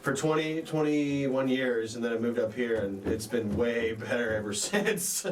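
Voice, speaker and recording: A man's voice: mid-range voice, unhurried, noisy background. A man in his twenties from Oklahoma, a band member rambling through a casual interview with lots of stammered restarts. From Southern Oklahoma. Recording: echoey room, from far off.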